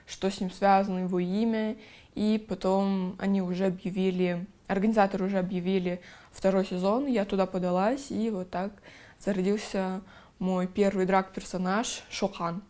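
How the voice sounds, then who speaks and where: A young woman of about twenty from Kazakhstan recounts her path in a plain, even, matter-of-fact voice. Her pitch sits right in the middle for a woman. It is an unhurried documentary interview. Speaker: drag king performer and activist.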